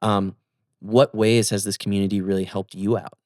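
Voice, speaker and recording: A man's voice: on the low side, level tone, moderate pace, a little mellow, no echo, clean studio sound. A young adult man co-hosting a casual podcast chat, talking in an upbeat, enthusiastic way. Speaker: podcast co-host.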